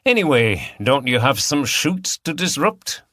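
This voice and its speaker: A man voicing a terse, measured male chief inspector in an audiobook. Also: mid-range voice, very animated, pushed and bright, no room sound, studio quality, pitched above the performer's own voice, heavily acted. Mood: wry. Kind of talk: audiobook character dialogue.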